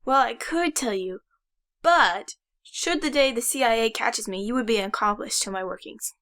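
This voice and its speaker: A woman playing a calm, dry-witted young male inventor in an audio drama. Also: fairly high pitch, moderate pace, lightly acted. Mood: upbeat, matter-of-fact.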